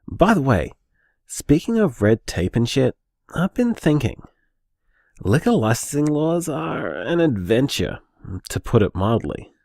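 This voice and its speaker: A man acts out an affectionate, anxious boyfriend in an audio drama. The voice is lively in pitch and recorded dry and close, with earnest, hesitant, informal phrasing. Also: unhurried, gravelly, no echo, full character voice. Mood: tentative. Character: anxious, affectionate, conscientious boyfriend planning a bar. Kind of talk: naturalistic audio drama monologue.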